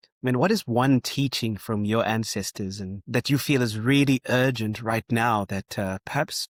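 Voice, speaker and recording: A man's voice: medium pitch, normal speed, no echo, clean studio sound. A man hosting a podcast interview, speaking conversationally in a respectful, deferential way.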